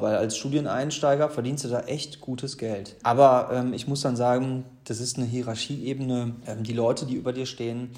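A man's voice: medium pitch, a little mellow. Man in his thirties, from the Ruhr area, chatty, loose, full of fillers, echoey room. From Ruhr area, Germany. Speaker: interview guest. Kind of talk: casual personal storytelling.

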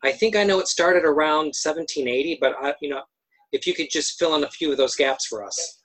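A man's voice: a little high, fairly quick, fairly bright tone, poor audio. A man hosting a podcast interview, asking questions with frequent restarts and repeated words. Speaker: podcast host.

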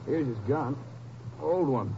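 An actor playing a relaxed male Ranger partner in a 1950s radio drama, on a lo-fi broadcast recording. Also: medium pitch, slow, background noise. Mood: easygoing. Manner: relaxed, conversational. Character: easygoing male Ranger sidekick.

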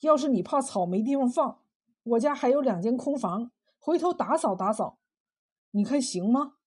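A woman's voice: on the high side, animated, moderate pace, a little mellow, slightly rough. A woman storyteller voicing an older, well-meaning village farmer, hesitant and placating. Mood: tentative.

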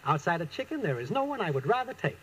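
An actor playing a genially pompous, broke small-time schemer in an old radio sitcom, with mock-formal patter. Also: male voice, high-pitched, sing-song, quick pace, a bit bright, rough recording. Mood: courtly, pompous. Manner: smooth-talking, mock-formal. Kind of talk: 1940s-50s radio sitcom acting. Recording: old radio recording with faint noise.

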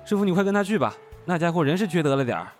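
A man's voice: on the high side, sing-song, rapid-fire, soft background music. An actor playing an eager, chummy young male manager in an audio drama, friendly and boisterous. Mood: indignant, chummy. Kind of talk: Chinese audio drama acting.

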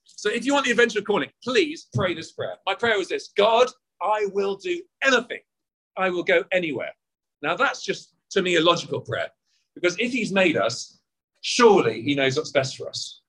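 A man in his forties preaching a lively, conversational sermon, full of rhetorical questions, at an outdoor service in a car park. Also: high-pitched, moderate pace. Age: 40s.

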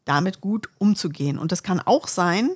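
A woman's voice: lowish voice, animated, normal speed, husky. A woman in her fifties co-hosting a podcast in a casual, conversational way. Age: 50s. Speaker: podcast co-host. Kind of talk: casual chat between friends.

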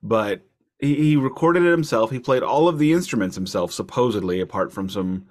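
A man hosting a podcast, chatting casually about music in a wry way, leaning deliberately on key words. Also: mid-range voice, lively intonation. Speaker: podcast host.

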